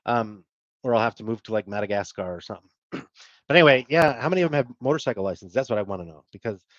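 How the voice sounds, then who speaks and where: A man's voice: medium pitch, animated, quick pace, fairly bright tone, no echo, highs cut off. A middle-aged man hosting a livestream, chatting casually and rambling as he answers viewer comments. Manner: rambling, self-deprecating, with fillers and laughs. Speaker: livestream host.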